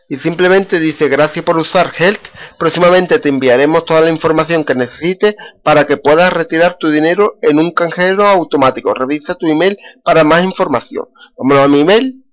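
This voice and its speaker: Man, slightly high voice, unhurried tutorial narration, lots of filler sounds. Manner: slow, step-by-step, many "eh" fillers. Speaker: YouTuber. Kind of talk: screen-recorded tutorial.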